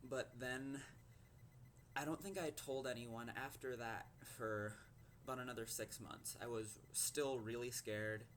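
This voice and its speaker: A fifteen-year-old boy from Minnesota with a low voice, telling a personal story to camera and hesitating often with ums and likes. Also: fairly even intonation, unhurried, fairly bright tone, slight background noise. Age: teens. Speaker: teen vlogger.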